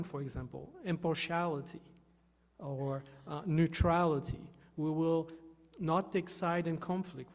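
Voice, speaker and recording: A man from Haiti, giving an earnest, halting stage talk with many fillers. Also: on the high side. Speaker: volunteer speaker. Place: hall with an audience. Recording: long reverb of a large hall.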